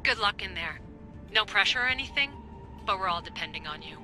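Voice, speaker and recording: A friendly female sidekick voiced by an actress chats in a game cutscene. She is wry and conversational at a medium pitch, with music playing clearly underneath. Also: lively intonation, brisk, lightly acted. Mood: encouraging, playful. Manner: conversational, lightly teasing. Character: friendly, wry female tech assistant, loyal but doubtful. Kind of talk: naturalistic video game cutscene acting.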